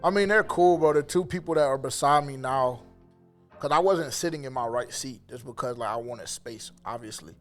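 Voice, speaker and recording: A man hosting a casual sports podcast, his voice a bit raspy, talking in a laid-back, rambling way. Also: mid-range voice, normal speed, soft background music. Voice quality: slightly raspy. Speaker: podcast host. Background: song playing underneath.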